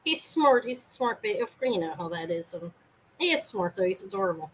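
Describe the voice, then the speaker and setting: Brief: woman, live-sale host, loud carrying voice, rambly and self-interrupting, home setup. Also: mid-range voice, lively intonation, brisk, a little hiss or hum, rough recording. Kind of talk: casual live sale chat. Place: home apartment, fan running.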